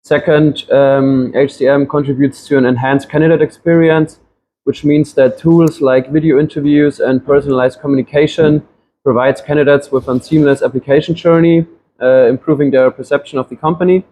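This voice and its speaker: A man's voice: fairly high pitch, steady tone, moderate pace, mellow, a little hiss or hum, low-quality recording. A man, giving a classroom presentation with frequent ums and restarts. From Germany. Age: 20s. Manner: hesitant, many ums and restarts. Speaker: student presenter.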